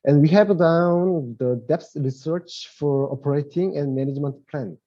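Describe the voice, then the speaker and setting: A middle-aged man with a Korean accent, giving a webinar presentation in English as his second language, halting and searching for words. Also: on the high side, mellow. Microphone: video call. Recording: lo-fi video call audio.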